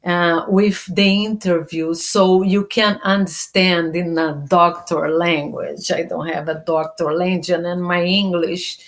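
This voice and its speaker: Slow-talking Brazilian woman. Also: lowish voice, fairly even intonation. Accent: Brazilian Portuguese. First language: Portuguese. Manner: unhurried, searching for words, frequent restarts. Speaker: self awareness coach. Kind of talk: casual personal vlog.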